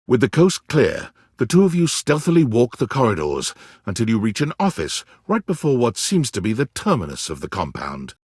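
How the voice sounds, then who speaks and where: A man delivering dramatic scripted narration for a video game, theatrical and deliberate, with storybook relish. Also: mid-range voice, wildly swooping pitch, darkish tone, breathy edge, no echo, clean studio sound.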